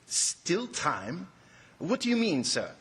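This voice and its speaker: A man's voice: sits high, huge pitch swings, slow pace, exaggerated voice. An actor playing a deferential, questioning subordinate reporting to his superior in an old-time radio drama style. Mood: puzzled, deferential.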